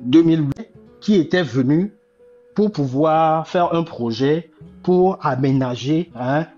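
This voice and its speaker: A man's voice: on the high side, takes it slowly, mellow, muffled recording, soft background music. A man, making impassioned political commentary and repeating key phrases with many restarts. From Togo. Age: 40s. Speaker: commentator on a live discussion.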